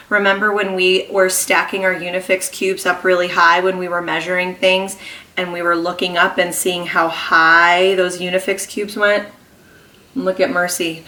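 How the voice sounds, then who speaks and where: A woman kindergarten teacher reading a story aloud to young children, expressive and encouraging, pausing to ask them questions. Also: fairly low pitch, far from the mic, a little hiss or hum. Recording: echoey room.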